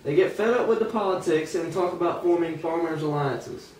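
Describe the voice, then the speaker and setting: A man's voice: fairly high pitch, a little fast, darkish tone. A man reading scripted narration for an amateur puppet show. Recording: echoey room, distant mic, faint noise.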